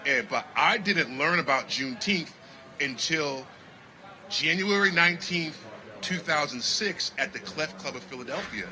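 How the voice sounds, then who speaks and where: A man from Connecticut, a jazz musician talking in a casual festival interview with animated, preachy energy. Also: on the high side, unhurried, rough recording. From Connecticut, United States. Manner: animated, preachy, repeats "you know what I mean".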